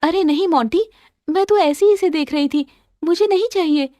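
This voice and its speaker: A woman voicing a sweet, gentle young girlfriend in a cartoon story, speaking politely. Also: very high voice, normal speed, no echo, studio quality, voice pushed up. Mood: modest protest. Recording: music under the voice.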